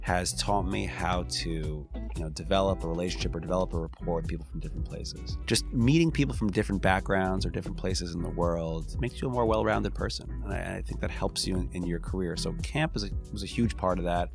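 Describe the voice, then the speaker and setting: A man's voice: low voice, fairly quick, creaky, hardly any pauses, music in the background. A man speaking as a lawyer guest in an interview, earnest and reflective, often restarting his phrases. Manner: earnest, frequent restarts and "you know". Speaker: employment and labor attorney, guest.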